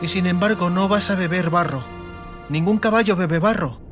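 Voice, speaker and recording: A woman's voice: fairly low pitch, moderate pace, faint music behind, close to an everyday voice. An actress playing an exhausted, frightened but stubborn and tender teenage girl in a dramatized audiobook. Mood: gentle coaxing. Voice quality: hoarse, breathy whisper at times.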